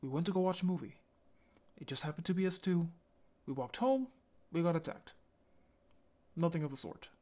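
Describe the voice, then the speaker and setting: A man playing a lonely, apologetic young male zombie in an audio roleplay, speaking in a halting, intimate way. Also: a little high, darkish tone, natural voice. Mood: worried, earnest. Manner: halting, stammering, sighing.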